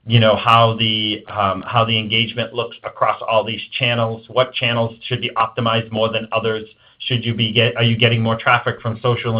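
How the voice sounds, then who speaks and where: A man speaking as an executive guest in an interview, giving emphatic, conversational advice. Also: fairly low pitch. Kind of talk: interview answers on marketing. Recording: dull lo-fi band-limited audio.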